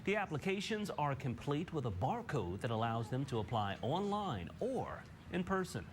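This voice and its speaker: A man's voice: a little high, big pitch swings, moderate pace, a little hiss or hum. An American man anchoring morning television news, reading scripted stories in a studio.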